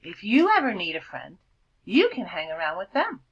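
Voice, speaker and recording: A woman teacher reading a picture book aloud and asking her class gentle questions about it. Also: fairly low pitch, big pitch swings, moderate pace, highs cut off, a little hiss or hum. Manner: gentle questioning, prompting children to think.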